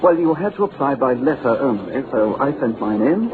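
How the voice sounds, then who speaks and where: A man plays an earnest young English clerk in a vintage radio play, talkative and polite. His mid-range voice is animated in pitch. It comes through a thin, phone-like band with noticeable hiss. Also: moderate pace, lightly acted. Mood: earnest, chatty. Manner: chatty, polite, slangy. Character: Hall Pycroft, chatty, earnest young London clerk. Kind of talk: old-time radio drama acting. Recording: tinny old radio recording with hiss.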